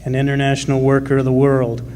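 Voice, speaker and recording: A man's voice: mid-range voice, monotone, quick pace, a little mellow, lightly acted. A man playing an earnest, plainspoken working-class miner and father in a live radio drama. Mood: steady, earnest. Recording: faint noise, live stage recording.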